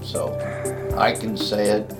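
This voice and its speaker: A man with a loud voice speaking bluntly and emphatically as an interview guest, repeating himself for emphasis. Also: on the low side, slow pace, over loud music. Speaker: guest, investment newsletter writer. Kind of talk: conversational interview about investing.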